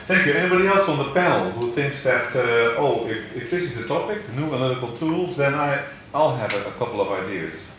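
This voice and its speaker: Male moderator, brisk and prompting, distant mic in a reverberant hall. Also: mid-range voice, animated, a bit bright, a little hiss or hum. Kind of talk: moderating a conference panel.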